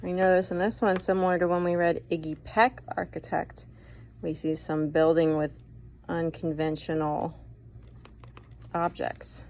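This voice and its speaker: A woman reading a picture book to children in an expressive, guiding way, adding comments and questions as a teacher would. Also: on the low side, a little slow, slight background noise. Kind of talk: read-aloud for children with commentary asides.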